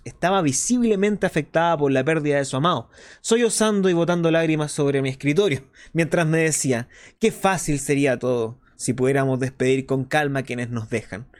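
A man playing an earnest, explanatory host of a clandestine resistance radio show, in a scripted monologue with deliberate phrasing. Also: on the high side, animated, natural voice. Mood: somber, reflective. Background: music behind the voice.

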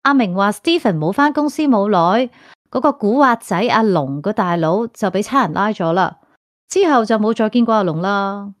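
A woman's voice: medium pitch, expressive, moderate pace, no room sound, clean studio sound, lightly acted. A woman playing a chatty, deferential female office assistant in a radio drama, with a Hong Kong Cantonese accent.

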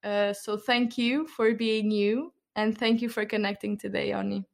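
Woman host asking questions, flat even voice, earnest and soft. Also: mid-range voice, normal speed, creaky. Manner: earnest, soft-spoken, hesitant ums. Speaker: interviewer. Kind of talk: interview host.

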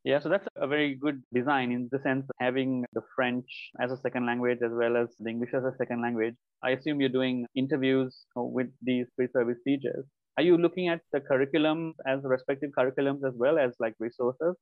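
A man from South Asia hosting an academic interview, affirming the guest and asking long, measured questions. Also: mid-range voice, steady tone, no room sound. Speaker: podcast host. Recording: dry tinny phone-like line.

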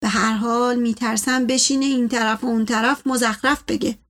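A woman's voice: a little high, steady tone, fairly bright tone, natural voice. A woman voicing an imperious, suspicious older male patriarch in an audiobook story, stern and pompous in manner. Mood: indignant, impatient.

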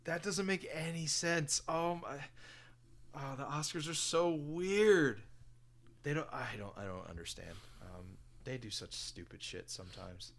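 A man's voice: on the high side, lively intonation, a little slow. A man co-hosting a podcast, exasperated and incredulous in casual chat. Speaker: podcast co-host.

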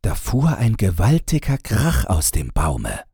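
A man narrating a scripted fairy tale as an audiobook, in a measured storytelling manner. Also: fairly low pitch, wildly swooping pitch, gravelly, dark tone, slightly rough, no room sound, clean studio sound.

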